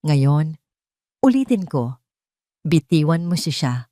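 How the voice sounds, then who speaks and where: A woman voicing a calm, authoritative wealthy man with quiet menace in an audio drama. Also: deep voice, slow pace, no echo, studio quality, exaggerated voice. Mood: cold, commanding.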